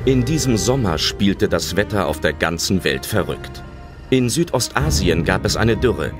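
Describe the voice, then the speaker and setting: A man narrating a scripted children's adventure audio drama in a storytelling style. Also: sits low.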